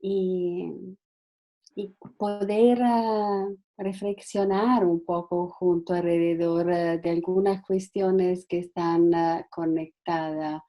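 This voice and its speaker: Slow, hesitant Italian woman speaking Spanish. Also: lowish voice, warm tone. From Italy. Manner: hesitant, halting with frequent fillers and restarts. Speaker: guest speaker. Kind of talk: online talk. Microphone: video call.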